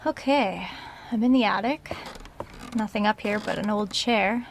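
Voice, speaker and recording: Casting: actress for uneasy female researcher in horror audio drama, diary narration, medium pitch with vocal fry. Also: measured pace, slight background noise. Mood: musing, intrigued. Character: uneasy woman researcher recording an audio diary in a haunted house.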